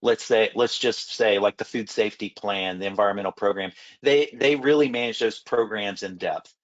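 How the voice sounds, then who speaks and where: A man working as a food safety consultant, a little raspy from a recent illness, answering panel questions and telling stories from his work. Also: lowish voice, moderate pace, lo-fi sound. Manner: storytelling, repeats words when restarting.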